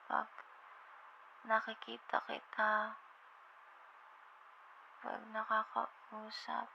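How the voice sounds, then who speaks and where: A woman playing a sleepy, affectionate girlfriend in an intimate ASMR roleplay, with a Filipino accent and a drowsy, halting, tender murmur. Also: a little high, monotone, heavily acted. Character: sleepy, affectionate young girlfriend on a late-night call. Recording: muffled, with clear background noise.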